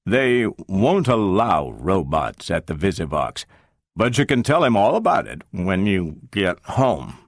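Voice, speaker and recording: A man reading aloud and voicing an uneasy father who speaks quietly and haltingly. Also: deep voice, big pitch swings, no echo, clean studio sound, full character voice. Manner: subdued, halting.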